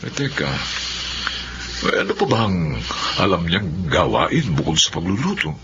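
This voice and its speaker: A man playing a helpful, excitable older Filipino brotherly adviser in a radio drama. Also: sits low, unhurried. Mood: pondering. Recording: dull audio with clear background noise.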